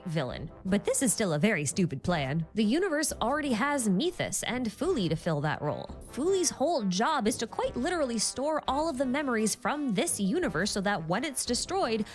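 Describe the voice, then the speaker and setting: A woman's voice: fairly high pitch, sing-song, brisk, hardly any pauses, soft background music. A woman narrating a scripted explainer in an articulate, opinionated way, with conversational asides. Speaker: video essayist explaining game lore.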